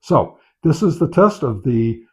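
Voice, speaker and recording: A man gives unscripted product test commentary as a review host, pausing often with filler sounds and repeating set phrases. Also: medium pitch, sing-song, warm tone. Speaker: host of a radio gear review channel. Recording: dull, muffled lo-fi sound.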